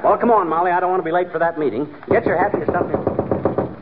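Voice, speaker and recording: An actor playing a boastful, bumbling American husband in a 1940s radio comedy, with folksy, blustery wisecracks. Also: male voice, high voice, quick pace, natural voice. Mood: wisecracking. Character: bumbling, boastful husband, newly made fire commissioner. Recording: tinny, noisy 1940s radio recording, audience.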